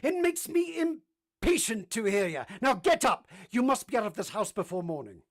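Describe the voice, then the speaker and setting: Casting: brooding lord of the manor, abrupt and commanding, lively swooping delivery, vintage radio drama. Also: male voice, high voice, normal speed, heavily acted. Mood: urgent, stern. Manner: imperious, abrupt, urgent. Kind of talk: old-time radio drama acting.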